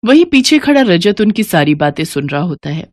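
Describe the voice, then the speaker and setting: A woman's voice: on the low side, sing-song, normal speed, no echo, studio quality. A woman narrating a scripted story as a storyteller.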